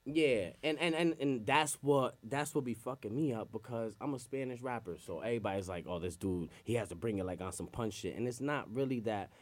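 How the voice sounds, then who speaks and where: A Puerto Rico-born man, a rapper, chatting loosely in street slang as an interview guest, his sentences trailing off low. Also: brisk, hardly any pauses. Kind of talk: casual interview chat.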